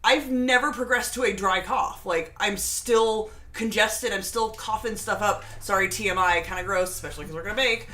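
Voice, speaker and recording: A woman's voice: medium pitch, normal speed, hardly any pauses, sharp tone. A woman host chatting casually and reading viewer comments, congested from a lingering cold, with a sassy, teasing manner. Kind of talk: casual livestream chat.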